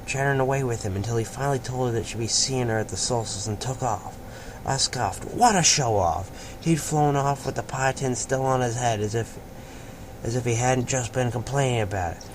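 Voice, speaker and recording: Man reading aloud over clear background noise. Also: mid-range voice. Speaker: fan fiction reader and host. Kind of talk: amateur read-aloud of a story with casual asides. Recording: noisy amateur home recording.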